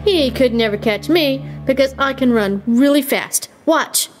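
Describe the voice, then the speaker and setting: A woman's voice: high-pitched, measured pace, music in the background. A woman playing a naughty, cocky little boy rabbit in a children's puppet show, childlike, whiny and boastful. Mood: cheeky.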